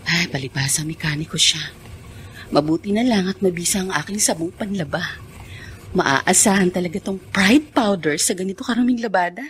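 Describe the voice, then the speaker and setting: An actress playing a wounded grown daughter in an emotional Filipino radio drama. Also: female voice, lowish voice, normal speed, slight background noise, close to an everyday voice. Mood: busy, matter-of-fact. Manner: emotional, reproachful. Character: bitter, wounded grown daughter, a hardworking housewife.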